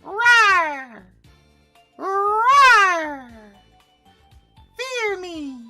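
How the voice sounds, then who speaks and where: Someone putting on a high-pitched roaring little dinosaur. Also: expressive, very slow, sharp tone, far from the mic, soft background music, full character voice. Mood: mock fierce. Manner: exclaiming, roaring. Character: small dinosaur roaring, trying to be fearsome.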